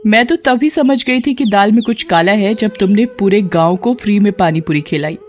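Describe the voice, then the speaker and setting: A woman's voice: medium pitch, normal speed, darkish tone, lightly acted. A woman voicing a brave, clever young village girl in a cartoon story, raised and urgent. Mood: defiant.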